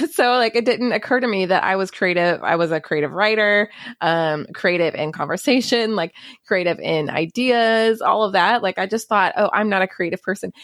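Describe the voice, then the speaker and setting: A woman's voice: lowish voice, fairly bright tone, no room sound, clean studio sound. A woman hosting her podcast in casual conversation, open and chatty, laughing easily and filling with "like" and "um". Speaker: podcast host.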